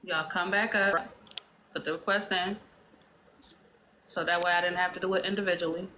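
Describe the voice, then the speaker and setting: A woman's voice: lowish voice, moderate pace, slight background noise, rough recording. A woman hosting a live online panel, calling people up one by one and keeping things orderly. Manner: steady, organizing, calls on speakers. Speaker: host moderating a live panel. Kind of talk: casual live-stream moderation.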